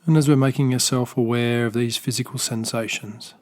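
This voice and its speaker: A man with an Australian accent leads a body scan meditation in a soft, unhurried voice. His pitch sits in the middle range, and he leaves long pauses between gentle, loosely phrased instructions. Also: steady tone. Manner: slow, soft-spoken, gentle guidance with pauses; unscripted, with small restarts. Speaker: meditation teacher.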